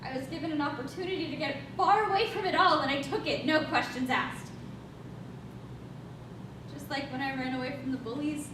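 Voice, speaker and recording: A distant, echoey stage recording with clear rumbling noise. A woman plays a young, defensive, guilt-ridden woman with a high-pitched voice, telling her story in an emphatic, confessional manner. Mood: guilty, confessing. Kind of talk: staged reading, dramatic monologue.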